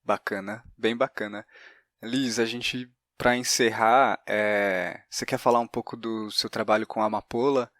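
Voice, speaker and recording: A man's voice: on the low side, fairly even intonation, unhurried, a bit bright, dry recording, clean studio sound. A Brazilian man hosting a casual interview, tentatively rephrasing his questions as he goes. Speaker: podcast host and fellow playwright.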